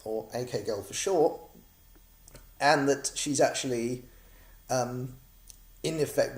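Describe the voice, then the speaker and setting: A man's voice: mid-range voice, a little mellow, reverberant. An English man from Norwich giving a casual, rambling update to backers of his comic project, with many ums and restarts. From Norwich, England.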